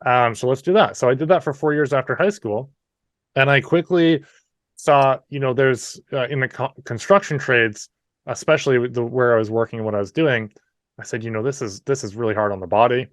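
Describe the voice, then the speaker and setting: A man's voice: medium pitch, brisk, no echo. Chatty Canadian man, dry sound. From New Brunswick, Canada. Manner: friendly, chatty, frequent "you know" asides. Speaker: guest. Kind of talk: casual interview storytelling.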